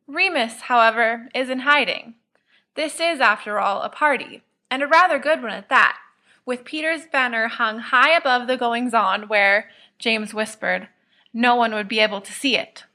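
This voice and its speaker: A woman reads fiction as a narrator. Her voice is crisp-edged and bright, pitched a little high. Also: normal speed. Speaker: podfic reader, narrator.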